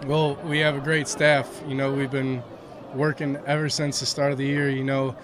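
A young man, a college baseball pitcher, answering post-game questions modestly outdoors at a ballpark, with lots of "you know" fillers. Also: little pitch movement, normal speed. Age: young adult. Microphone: handheld mic held by interviewer. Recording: outdoor noise around the mic.

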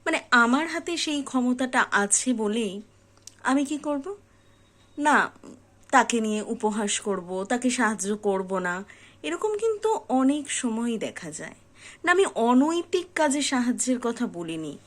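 Female motivational speaker, voice on the high side, very unhurried pace, earnest and emphatic direct address. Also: big pitch swings, fairly bright tone. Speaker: host of a motivational reflection channel.

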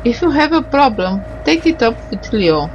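A woman doing a casual game playthrough, reading the on-screen dialogue aloud in a halting, tentative way and sounding tired. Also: on the high side, big pitch swings, highs cut off, a little hiss or hum.

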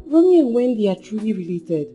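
A woman's voice: medium pitch, big pitch swings, a little fast, dark tone, soft background music, natural voice. A woman playing a suspicious, easily dismayed young woman in a film drama.

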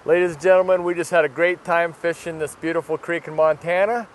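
A middle-aged man hosting a fishing show outdoors by a river, talking casually with quick, enthusiastic exclamations on a clip-on mic. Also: a little high, fairly even intonation, slight background noise.

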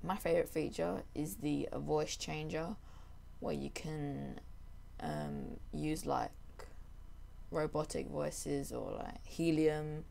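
A young adult presenter giving a casual software tutorial in a voice-over. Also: medium pitch, slow pace, creaky.

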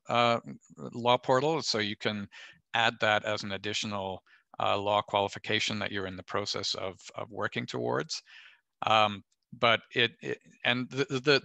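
A man's voice: on the low side, sharp tone, no room sound. A man advising students in a panel discussion on a video call, explaining at length with many fillers.

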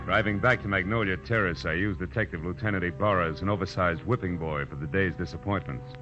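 Deep-voiced wry radio private eye, played by a man. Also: fast talker, over music, natural voice. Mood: wry narration. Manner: wry, sardonic. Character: wry, world-weary male private detective, first-person narrator. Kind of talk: 1940s radio detective acting and narration. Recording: dull old-time radio recording.

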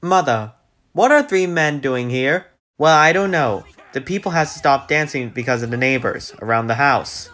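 A man's voice: mid-range voice, expressive, moderate pace, fairly bright tone. A man speaking casual cartoon dialogue in an everyday voice.

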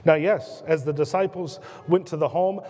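A man in his thirties with an American accent, preaching a sermon from the pulpit in earnest, slowing and dropping his voice on key words. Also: darkish tone, slightly rough. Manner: earnest preaching, slows and drops low on key words, gestures a lot. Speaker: pastor. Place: church sanctuary at a pulpit. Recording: long church reverb.

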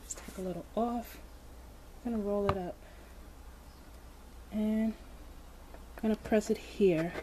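Slow, gentle woman narrating toy play. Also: mid-range voice. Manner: slow, gentle, playful commentary with soft asides. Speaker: toy video host. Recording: background noise.

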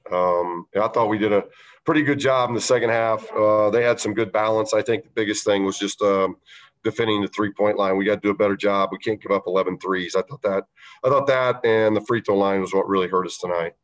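Man, lowish voice, basketball coach, post-game press answers, halting, lots of fillers. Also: fairly even intonation. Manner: measured, halting, many fillers.

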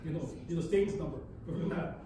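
An older man speaking bluntly and fast as a panelist in a panel discussion, recorded from far off in a large hall. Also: a little high, background noise. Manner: blunt, outspoken, fast-talking. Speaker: panelist, museum founder. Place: large hall with brick walls. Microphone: far room mic.

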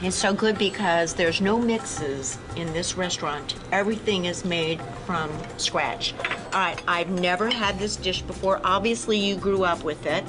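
A woman's voice: lowish voice, unhurried. A woman hosting a cooking show, chatting with a guest in a restaurant and exclaiming over the food. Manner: enthusiastic, exclamatory. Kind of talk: casual interview while tasting food. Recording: music playing behind.

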